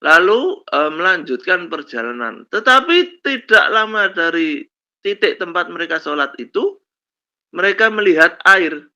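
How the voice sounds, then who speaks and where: A male lecturer with a slight cold, telling stories slowly with many fillers in a lesson on Islamic law. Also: sits high, big pitch swings, pushed and bright. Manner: slow storytelling with many fillers and "kan begitu ya". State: has a cold, coughs. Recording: dull lo-fi recording.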